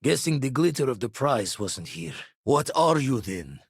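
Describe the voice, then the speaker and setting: Game role: seasoned ex-pirate space explorer, gruff and wry, rough husky tone, very animated inflection, slangy turns of phrase. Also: male voice, medium pitch, unhurried, dry recording, clean studio sound, heavily acted. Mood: businesslike. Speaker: video game voice actor. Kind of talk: scripted game character dialogue.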